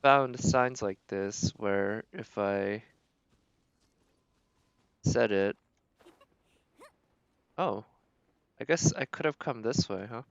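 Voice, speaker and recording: A man's voice: fairly low pitch, very slow, creaky, pauses often, no room sound, muffled recording. A man giving casual, rambling gameplay commentary as a streamer, thinking aloud as he plays. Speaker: game streamer.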